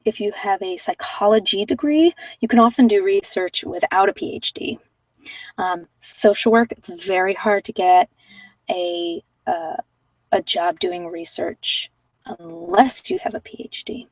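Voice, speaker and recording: A woman talking informally and unscripted on an ASMR meditation channel, thinking aloud in a hesitant, reflective, rambling way with pauses. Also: mid-range voice, darkish tone, dry recording, distant mic, rough recording. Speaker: meditation guide and former social worker.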